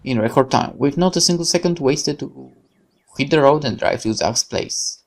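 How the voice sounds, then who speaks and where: Very creaky voice, game streamer, dramatic read-aloud narration, expressive, occasional stumbles. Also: mid-range voice, moderate pace.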